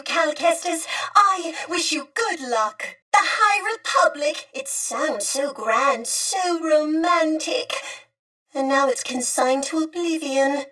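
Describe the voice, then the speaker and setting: A polite fussy lady droid for a game, really high voice, old-timey proper wording, bit off the mic. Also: pushed and bright, distant mic. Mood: warm, concerned, then wistful. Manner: formal, polite, genteel old-fashioned phrasing. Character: prim, courteous, fretful female droid of a bygone era. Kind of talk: video game character dialogue.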